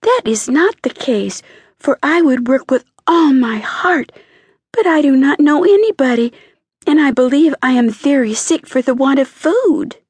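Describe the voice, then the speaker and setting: A woman's voice: sits high, lively intonation, a little slow, darkish tone, no echo, pitched above the performer's own voice. A woman voicing a poor, hungry young boy in a storybook, earnest, polite and pleading. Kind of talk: storybook character voice.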